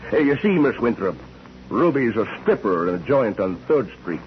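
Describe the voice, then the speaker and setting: Actor playing a smug, needling 1940s radio heel. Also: male voice, lowish voice, animated, moderate pace, close to an everyday voice. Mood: mocking, spiteful. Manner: mocking, slangy, chuckling needler. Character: needling, smug, ill-willed male boarder, a heel. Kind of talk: 1940s radio drama acting. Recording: dull, noisy old radio recording.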